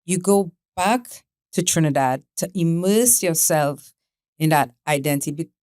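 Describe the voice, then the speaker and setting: A woman's voice: sits low, a little slow, darkish tone, no room sound, clean studio sound. A woman co-hosting a podcast, talking emphatically and often checking for agreement. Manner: emphatic, repeats words, seeks agreement. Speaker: podcast co-host. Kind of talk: casual interview chat.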